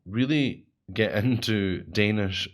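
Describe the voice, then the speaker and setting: A man's voice: on the low side, slow pace. A man with a Scottish accent, co-hosting a podcast in casual chat, rambling and correcting himself as he goes. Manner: rambling, self-correcting, chatty. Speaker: podcast co-host.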